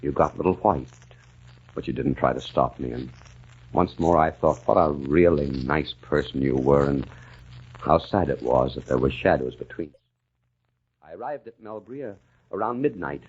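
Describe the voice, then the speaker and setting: Deep, husky-voiced haunted gentleman. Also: expressive, full character voice. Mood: brooding, pained. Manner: halting, earnest, brooding, with dramatic pauses. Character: haunted amnesiac gentleman and war veteran recalling his lost past. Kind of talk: old-time radio drama narration. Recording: muffled lo-fi vintage radio recording.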